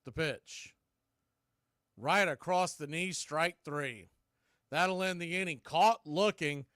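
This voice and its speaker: A man's voice: fairly high pitch, slow pace, fairly bright tone, no room sound, clean studio sound. A man announcing baseball in a play-by-play commentary style.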